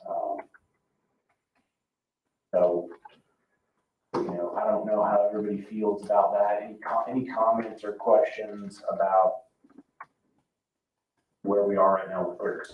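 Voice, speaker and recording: Man, low-pitched, halting informal meeting presenter, heard from a distance in a roomy space. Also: level tone. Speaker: commission member presenting at a public meeting. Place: indoor public meeting room. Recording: roomy, distant, dull, noisy meeting-room pickup.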